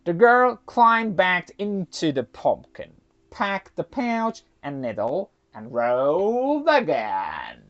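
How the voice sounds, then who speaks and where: Animated, slow-paced woman storyteller. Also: on the low side, muffled recording. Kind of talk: expressive narration of a children's folktale.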